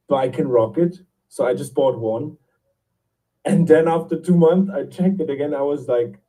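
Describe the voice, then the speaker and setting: A man's voice: medium pitch, warm tone, recorded from a distance, low-quality recording. A man from Scotland chatting casually, hesitant with many restarts as he tells a story. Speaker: guest from the lending team. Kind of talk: casual chat.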